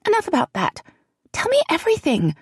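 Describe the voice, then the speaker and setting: A woman voicing a frightened young woman who speaks high and breathlessly to her friend. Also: wildly swooping pitch, brisk, no echo, clean studio sound, exaggerated voice. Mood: eager.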